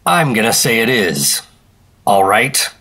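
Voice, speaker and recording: A man's voice: fairly low pitch, very animated, slow pace, sharp tone, exaggerated voice. An American man acting a terse, stubborn 1950s secret operative in a radio drama, with a dry, matter-of-fact delivery. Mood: firm, insistent.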